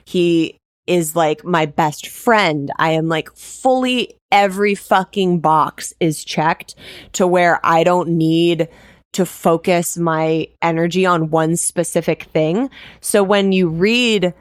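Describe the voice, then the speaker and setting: A woman's voice: lowish voice, no room sound, clean studio sound. A woman hosting a podcast, talking candidly and emphatically in a casual, sweary way. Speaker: podcast host. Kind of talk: candid personal podcast talk.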